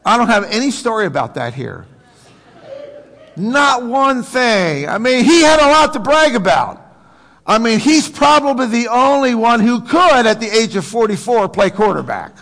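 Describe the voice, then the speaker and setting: Gravelly American preacher in a reverberant hall. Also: male voice, extremely high pitch, big pitch swings, normal speed, fairly bright tone. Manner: folksy, joking, rhetorical questions to the congregation. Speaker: pastor preaching to a congregation. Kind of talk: conversational sermon. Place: large room, preaching to a congregation.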